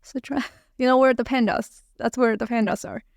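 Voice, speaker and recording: A woman in her thirties from China with a Chinese accent, speaking as a translator guest in a casual interview, hesitant with many fillers and soft laughs. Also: fairly high pitch, steady tone, normal speed, gravelly, dry recording, clean studio sound.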